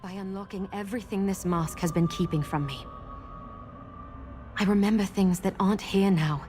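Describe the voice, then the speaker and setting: An actress playing an earnest young woman in a video game who seeks her lost memories, speaking in a measured, sincere way. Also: medium pitch, darkish tone, faint music behind, lightly acted. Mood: determined. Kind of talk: video game character acting.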